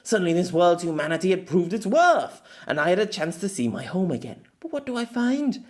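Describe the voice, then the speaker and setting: A man's voice: high-pitched, big pitch swings, brisk, pitched above the performer's own voice. A man playing a middle-aged exile in an audio story, bitter, hot-tempered and desperate, stammering and swearing in dramatic outbursts. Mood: angry, anguished.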